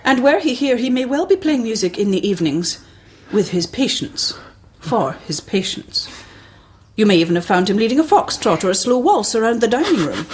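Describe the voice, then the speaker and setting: An actress in a radio drama playing a cautious, earnest hospital colleague who speaks formally and precisely, with prim reproof. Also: female voice, a little high, big pitch swings, normal speed, a little hiss or hum. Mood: icily reproving.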